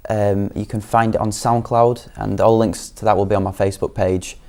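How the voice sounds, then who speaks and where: A man's voice: lowish voice, flat delivery, moderate pace, warm tone. A young man from Leeds, a singer-songwriter guest answering modestly and hesitantly. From Leeds, England. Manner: modest, hesitant, many ums.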